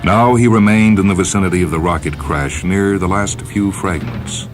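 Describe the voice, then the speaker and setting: A man reading a science fiction story as narrator, in a solemn, measured dramatic style. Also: sits low. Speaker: narrator, short story reader. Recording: music under the voice. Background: music.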